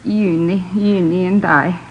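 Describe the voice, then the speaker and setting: Middle-aged Swedish mother, low-pitched, shaken, polite, halting phrases, old radio drama, muffled with faint noise. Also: fairly even intonation. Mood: shaken, weary. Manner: halting, simple non-native phrasing. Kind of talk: 1950s radio drama acting.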